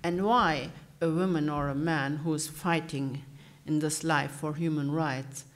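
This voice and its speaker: Woman with halting English. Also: low-pitched, fairly even intonation. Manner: halting non-native English, searching for words. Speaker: panel guest. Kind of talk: panel discussion answer. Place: public debate hall.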